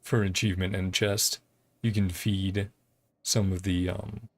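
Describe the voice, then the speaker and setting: Male game streamer, lowish voice, casual rambling live commentary, thinking aloud. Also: flat delivery, unhurried, a little hiss or hum.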